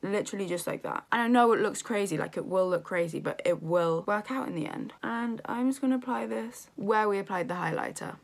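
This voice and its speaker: A woman with a croaky voice giving a chatty makeup tutorial in her bedroom, full of "literally" and "like". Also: mid-range voice, a little fast, few pauses. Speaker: makeup vlogger. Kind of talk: casual beauty tutorial.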